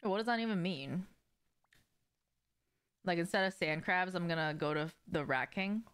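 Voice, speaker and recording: Smooth, low-voiced young woman streaming games. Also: normal speed, a bit bright. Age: young adult. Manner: informal, sweary, slangy. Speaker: game streamer. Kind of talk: casual livestream chat with viewers while gaming. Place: indoors, small home room with shelves.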